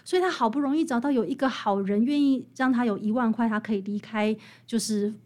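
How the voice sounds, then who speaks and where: A woman's voice: a little high, a little fast, warm tone. A woman with a Taiwanese Mandarin accent speaking as an interview guest, explaining and telling stories in an emphatic, conversational way. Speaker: guest author interviewed about her book.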